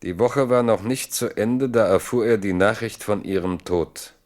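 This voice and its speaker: Deep-voiced male radio-play narrator, grave and measured. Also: darkish tone.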